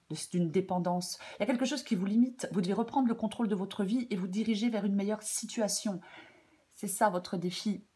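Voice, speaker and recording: A woman with a French accent giving a tarot reading in a casual, hesitant way, slightly hoarse, with many fillers and repeated phrases. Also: on the low side, warm tone. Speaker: tarot reader. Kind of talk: casual spoken tarot guidance.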